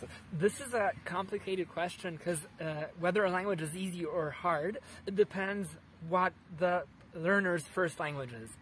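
A man in his thirties from Poland with a Polish accent, answering interview questions in a park with lively gestures and many restarts. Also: sits high, moderate pace, hardly any pauses, slight background noise, poor audio. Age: 30s. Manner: animated, gesturing, frequent restarts. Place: outdoors in a sunny park.

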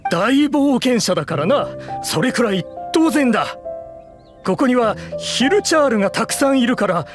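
A man's voice: extremely high pitch, animated, moderate pace, over music. An actor voicing a boastful, hearty middle-aged male adventurer in an anime-style game.

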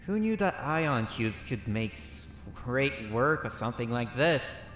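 A man voicing his character in a survival game roleplay, excitably thinking aloud to himself. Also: medium pitch, a little slow, faint music behind.

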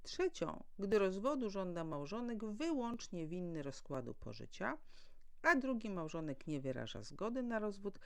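A woman's voice: mid-range voice, big pitch swings, normal speed, creaky, fairly bright tone. A woman presenting a formal, prepared legal explainer as an educator, setting out points one by one in a didactic way.

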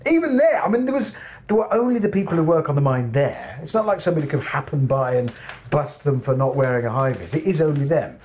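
A man, a presenter chatting casually with excitable, jokey remarks. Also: on the high side, fast. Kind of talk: casual chat. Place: indoors, small office. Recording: noticeable background noise.

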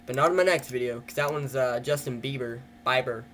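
A man's voice: medium pitch, brisk, noisy background. A teenage boy from Canada, chatting casually and self-mockingly into a webcam in a small wood-paneled room. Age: teens. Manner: rambling, self-mocking, jokey. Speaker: vlogger. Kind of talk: casual joking webcam vlog. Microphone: webcam at desk.

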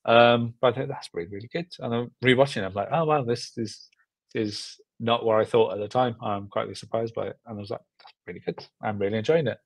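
A man's voice: mid-range voice, fairly quick, dry recording. A young man from South Wales with a South Welsh accent, chatting casually and enthusiastically as an interview guest, often repeating words for emphasis. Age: 20s or 30s. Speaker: film director, interview guest. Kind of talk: casual chat. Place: small room at home, video call. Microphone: video call, earbuds.

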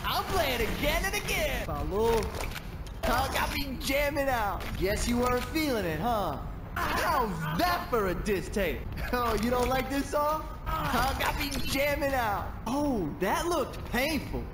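An actor playing an upbeat young DJ hero in a video game, exuberant and teasing. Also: male voice, very high-pitched, big pitch swings, a little slow, full character voice. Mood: playful, cocky. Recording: echoey, loud background noise.

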